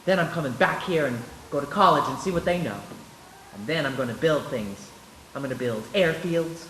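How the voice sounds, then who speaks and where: A man acts an earnest, boyish young fellow in an old-time stage radio drama. He is folksy and excitable, high-voiced and very animated, in an echoey room. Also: normal speed. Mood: boyish, eager. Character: eager, restless young small-town man, boyishly earnest. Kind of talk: live 1940s-style radio play acting. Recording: echoey stage, background noise.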